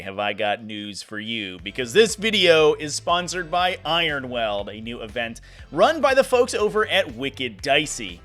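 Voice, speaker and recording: A young man with a slightly hoarse voice and a bright, forceful tone hosts a tabletop gaming show. He talks in a chatty, upbeat way, his pitch swinging lively up and down over soft background music. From New England, US. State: hoarse from a cold. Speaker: host. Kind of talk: tabletop game tournament commentary.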